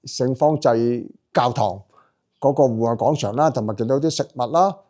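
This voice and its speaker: Easygoing male travel vlogger, native Cantonese speaker, mid-pitched voice, chatty with filler pauses. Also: mellow. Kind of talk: casual travel narration.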